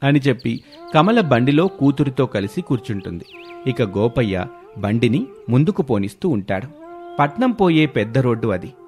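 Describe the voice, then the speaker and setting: A man's voice: medium pitch, big pitch swings, moderate pace, a little mellow, soft background music. A man reading a scripted children's moral story as narrator.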